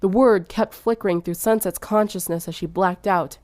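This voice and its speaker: A woman's voice: medium pitch, steady tone, moderate pace, a little mellow, dry recording, clean studio sound. A woman narrating fan fiction in a dramatic storytelling style.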